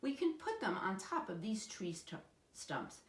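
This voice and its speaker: An eager little storybook boy, acted out by a woman, full of bossy make-believe. The delivery swings up and down with dramatic excitement, in an echoey room. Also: mid-range voice, measured pace, pitched above the performer's own voice. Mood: confident. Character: eager, imaginative little boy playing pretend.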